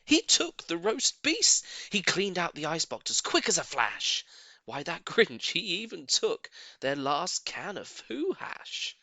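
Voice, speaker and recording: A man's voice: high voice, wildly swooping pitch, unhurried, creaky, bright, forceful tone, no room sound. A man narrating a rhyming storybook in a theatrical, playful and dramatic way.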